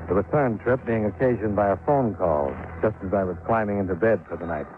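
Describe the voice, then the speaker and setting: An actor playing a hard-boiled insurance investigator with a dry, sardonic, clipped manner in a 1950s radio drama. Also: male voice, on the low side, a little fast, background noise, lightly acted. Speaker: lead actor, narrating in character. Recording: thin, tinny 1950s radio recording.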